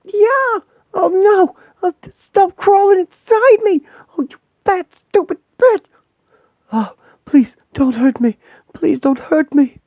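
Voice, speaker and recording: A man voicing a frightened, short-tempered young woman who talks in a high, shrill, agitated way. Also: animated, a little slow, no room sound, full character voice. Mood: panicked. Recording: tinny phone-like sound.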